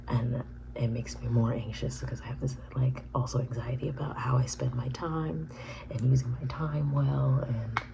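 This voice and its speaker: A woman in her thirties whispering a personal vlog, halting and reflective. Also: extremely low pitch, gravelly, background noise. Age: 30s. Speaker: vlogger.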